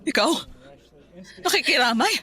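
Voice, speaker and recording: A woman's voice: sits high, lively intonation, moderate pace, pushed and bright. A woman with a Filipino accent playing a bitter, grief-stricken middle-aged mother in a tearful, raw radio drama style. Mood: angry, anguished. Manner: tearful, emotionally raw delivery. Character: grief-stricken, bitter mother mourning her young son.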